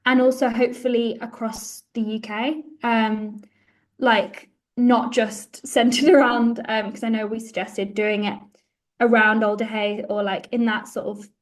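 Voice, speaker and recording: Higher-pitched northern English woman, informal. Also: level tone, a little slow. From Warrington, northwest England. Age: 20s. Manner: informal, peppered with "like". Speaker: podcast guest. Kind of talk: casual chat. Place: home room, indoors. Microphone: device mic on a video call.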